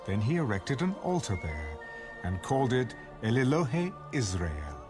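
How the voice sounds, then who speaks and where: Expressive man narrating scripture over music. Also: medium pitch, unhurried.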